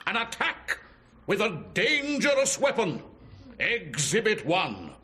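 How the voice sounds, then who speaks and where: An actor playing an earnest, pompous prosecuting barrister in a radio drama, with deliberate, theatrical phrasing. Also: male voice, sits high, wildly swooping pitch, sharp tone, full character voice. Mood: grave.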